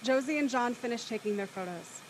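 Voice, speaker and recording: A woman's voice: fairly high pitch, expressive, brisk, noisy background. A woman reading scripted scene narration for an audio play as its narrator.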